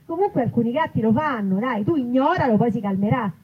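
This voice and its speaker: A woman's voice: on the high side, expressive, fast, slightly rough. A woman playing an easygoing Roman man who teases and reassures on a video call, in a live story reading. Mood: amused teasing. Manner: teasing, colloquial, reassuring. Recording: dull, noisy live event recording.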